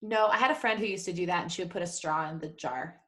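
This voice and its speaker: A woman's voice: fairly low pitch, fast, a bit bright. A woman co-hosting a casual live podcast, telling small anecdotes with wry, joking asides. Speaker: podcast co-host.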